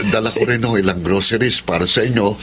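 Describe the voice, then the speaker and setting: A man's voice: on the low side, fast talker, heavy background noise, close to an everyday voice. An actor playing a polite, confident, well-meaning adult man in a Filipino radio drama. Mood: encouraging.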